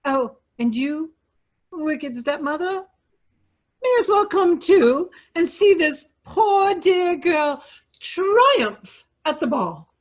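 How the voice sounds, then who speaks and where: A woman's voice: very high-pitched, very animated, slow, full character voice. A woman playing a gracious but firm fairy godmother in a children's fairy tale play, declaiming in a stately, theatrical way. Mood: grandly benevolent.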